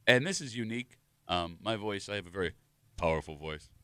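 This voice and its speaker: Morning radio host, hoarse voice with a smooth core, dry studio sound. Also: male voice, deep voice, lively intonation, a little fast, bright, forceful tone, no echo. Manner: joking, self-deprecating. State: hoarse, losing his voice.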